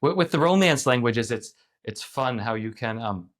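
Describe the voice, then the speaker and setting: A man's voice: medium pitch, animated, normal speed, fairly bright tone. A middle-aged man in a casual podcast interview, thinking aloud with many restarts and filler words. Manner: thoughtful, rambling, frequent restarts and "like". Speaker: podcast guest.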